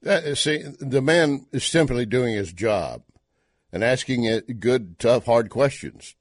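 A man's voice: medium pitch, expressive, slow pace, dry recording, studio quality. A Texan man hosting an opinion talk show, commenting indignantly with emphatic questions. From Texas.

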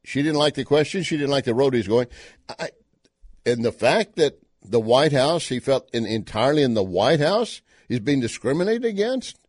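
A man's voice: mid-range voice, expressive, moderate pace, darkish tone, no room sound, studio quality. A Texan man hosting an opinion talk show, commenting indignantly with emphatic questions. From Texas.

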